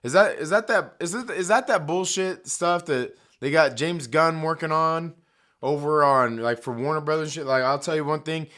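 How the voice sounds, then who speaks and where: A man hosting a live stream, talking casually and profanely while his voice is hoarse, joking and reading chat aloud. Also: a little high, normal speed, a bit bright.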